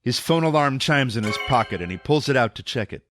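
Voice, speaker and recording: A man reads dramatic narration for an audio drama. His mid-pitched voice moves expressively and builds suspense. Music plays clearly under the words. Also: expressive, a little fast.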